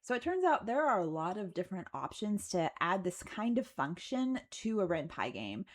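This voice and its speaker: A woman's voice: medium pitch, sing-song, moderate pace. Chatty woman narrating a casual vlog. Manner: chatty, conversational, wryly self-deprecating. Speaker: video creator narrating her game development progress. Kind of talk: casual explanatory voice-over.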